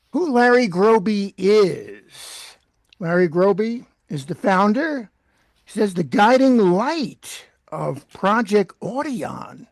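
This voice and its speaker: A man's voice: sits high, no room sound, clean studio sound. A man hosting an interview, introducing his guest and asking questions. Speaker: interviewer, radio theater company founder.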